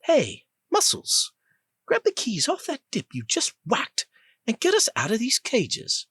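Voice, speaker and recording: A man voicing a confident, sharp-tongued young woman in an audiobook, with a clipped British accent. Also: very high voice, wildly swooping pitch, a little slow, slightly rough, no echo, clean studio sound, pitched above the performer's own voice, exaggerated voice. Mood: brisk. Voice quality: clipped, bossy.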